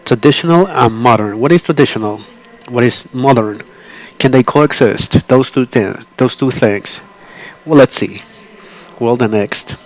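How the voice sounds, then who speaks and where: A man interpreting a conference talk live, in a halting way with frequent restarts and self-corrections. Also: medium pitch, poor audio.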